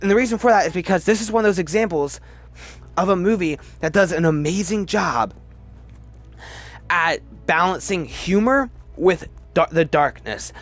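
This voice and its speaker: A man giving casual, opinionated commentary as a video host, emphatic and halting, often restarting his sentences. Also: sits high, expressive, fairly bright tone. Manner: chatty, halting, restarts sentences mid-word. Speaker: movie review video host. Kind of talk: casual ranked-list movie commentary.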